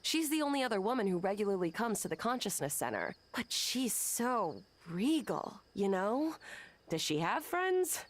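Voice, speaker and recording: A woman's voice: medium pitch, very animated, normal speed, close to an everyday voice. An actress playing an eager, earnest woman in a video game, curious and admiring, musing expressively in questions. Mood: wistful, admiring.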